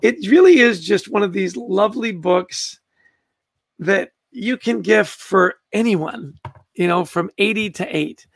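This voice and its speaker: Older male host, Canadian-born, high and gravelly, eager casual book-club chat, dry close sound. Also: a bit bright, no room sound. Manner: enthusiastic, greets viewers by name.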